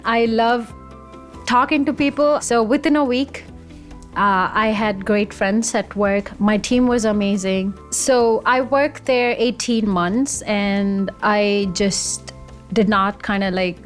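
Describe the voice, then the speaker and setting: A woman in her thirties from India, an automation engineer, talking about her career in an enthusiastic, conversational way. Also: medium pitch. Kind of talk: career interview monologue.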